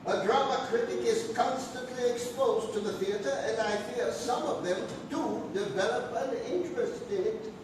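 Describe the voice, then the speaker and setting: A man plays a genteel, prim clergyman in a stage comedy, his high voice lilting with careful courtesy. The sound is distant and very echoey. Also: normal speed. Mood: prim disapproval. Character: genteel, prim minister, mildly disapproving and polite. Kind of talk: comic stage play performance. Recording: distant, very echoey theatre recording with hiss.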